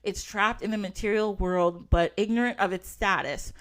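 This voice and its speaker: A woman's voice: medium pitch, steady tone, normal speed. A woman hosting a podcast, talking in a chatty, casual way with lots of fillers and frequent giggles, sometimes reading from an article. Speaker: podcast host.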